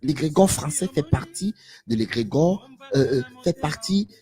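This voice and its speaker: A man's voice: a little high, animated, unhurried, warm tone, faint music behind. A man giving an impassioned live monologue to viewers, emphatic and preachy, repeating phrases and asking rhetorical questions. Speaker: live stream speaker.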